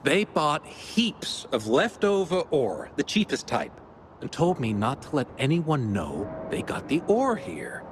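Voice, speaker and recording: A genial game merchant, chatty and theatrical. He speaks in slow, flowery phrases that swing widely in pitch, with some faint noise behind him. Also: wildly swooping pitch. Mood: musing, confiding. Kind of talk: video game character acting.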